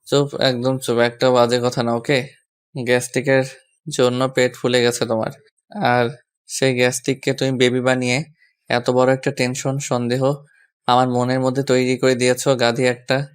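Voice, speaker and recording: A man's voice: medium pitch, monotone, normal speed, clean tone, lightly acted. A young man playing an exasperated, bossy yet fond husband in a romantic audio story, with a scolding, sarcastic manner.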